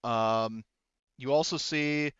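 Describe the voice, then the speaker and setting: Male game master, smooth clear tone, informal and sweary, dry but slightly dull recording. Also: medium pitch, takes it slowly, a bit bright, dry recording. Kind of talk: casual improvised tabletop game narration.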